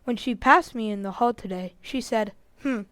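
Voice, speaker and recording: A woman's voice: fairly high pitch, normal speed, a bit bright, dry recording, close to an everyday voice. A woman acting an earnest, glum schoolboy son in an old-time radio comedy. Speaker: amateur actor.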